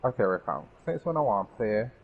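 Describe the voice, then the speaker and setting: Low-voiced man streaming, slightly muffled mic. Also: very animated, brisk. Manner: talks to himself and the game characters. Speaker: gaming streamer. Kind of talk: casual gameplay commentary. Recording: band-limited mic with faint background noise.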